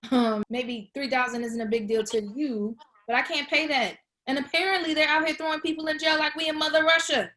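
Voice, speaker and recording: A woman's voice: sits high, steady tone, fairly quick, few pauses, bright, forceful tone, poor audio. A woman playing an anxious, broke and dramatic young woman with a sarcastic, slangy manner in a comedy table read.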